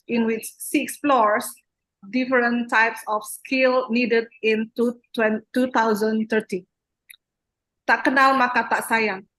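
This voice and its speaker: A woman's voice: a little high, a bit bright, low-quality recording. A woman moderates a seminar session, formally reading out a speaker's introduction. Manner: formal, reads a prepared introduction.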